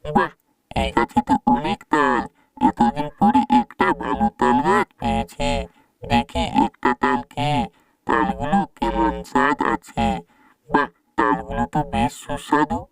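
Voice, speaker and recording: A greedy, mischievous she-ghost from a cartoon, put on by an actor, musing in slow, drawn-out phrases. The voice sits at some distance, with a murmur of crowd behind it. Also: medium pitch, fairly even intonation, vocal fry. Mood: delighted. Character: greedy mischievous female tree-dwelling ghost, the shakchunni. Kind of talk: scripted cartoon voice acting.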